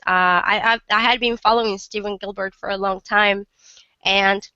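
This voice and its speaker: A woman in her thirties from Morocco, a guest in a casual interview, who is sick and sounds rough, chatting with lots of fillers and restarts. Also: mid-range voice, bright, forceful tone, no echo, highs cut off. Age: 30s.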